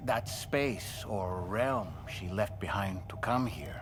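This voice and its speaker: A man's voice: lowish voice, animated, takes it slowly, background noise. A man playing a reflective, uneasy character in a game cutscene, speaking hesitantly. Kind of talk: scripted game cutscene dialogue.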